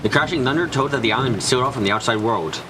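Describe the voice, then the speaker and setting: A man's voice: mid-range voice, very fast, very noisy. A man narrating a scripted story in a dramatic style for a visual novel fan dub. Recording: music behind the voice. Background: clear background music.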